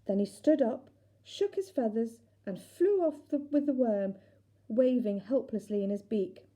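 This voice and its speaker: A woman narrating a children's storybook in an expressive, deliberate storytelling manner. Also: on the high side. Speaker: teacher reading aloud.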